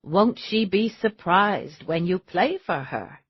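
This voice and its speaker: A cheerful middle-aged housewife with a sweet, folksy, hospitable manner, as a woman narrator plays her. The voice swoops lively up and down with a husky grain. It comes through a dull, dry, lo-fi recording. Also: medium pitch, takes it slowly, dry recording. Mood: sweetly folksy. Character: cheerful middle-aged wife and mother, secretly steely.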